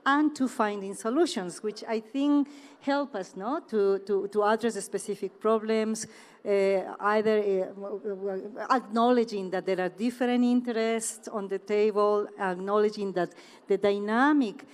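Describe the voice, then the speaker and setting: A woman speaking on a conference panel in a measured, deliberate way with many fillers and restarts. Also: medium pitch, steady tone, a little mellow. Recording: reverberant conference hall.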